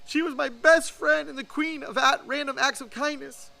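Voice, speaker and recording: A man's voice: very high voice, steady tone, normal speed, gravelly, pushed and bright, pitched above the performer's own voice, full character voice. A man voicing a sad, earnest young schoolboy in a storybook, plaintive and pleading. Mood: tearful. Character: sad, earnest young schoolboy missing his best friend.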